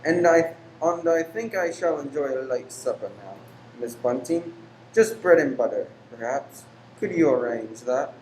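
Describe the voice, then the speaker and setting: An actor in a radio play playing a weary, pious, secretive lodger who is formal, exacting and easily angered. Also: male voice, medium pitch, animated, measured pace, a little mellow, lightly acted. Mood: formal, businesslike. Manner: formal, exacting, abrupt then apologetic. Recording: roomy echo with faint background noise.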